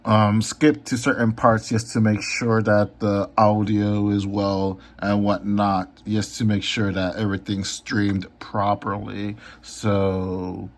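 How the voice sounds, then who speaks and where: A man talking casually in a vlog about his comics and live streams, unhurried, with many ums. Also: on the low side, level tone. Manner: unhurried, lots of ums and filler. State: a little hoarse. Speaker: comic artist and YouTuber.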